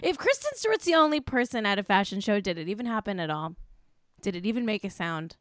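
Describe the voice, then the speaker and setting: A woman's voice: mid-range voice, very animated, fast, fairly bright tone, no room sound, studio quality. A woman co-hosting a casual chat show, giggly and chatty, swearing now and then. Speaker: co-host.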